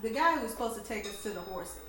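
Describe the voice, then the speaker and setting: A woman's voice: a little high, animated, very fast, sharp tone, far from the mic. A woman playing a tabletop role-playing game, bantering casually in a sarcastic, irreverent way. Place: indoor room, home game session. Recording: very echoey room, clear background noise. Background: noise, air conditioner hum.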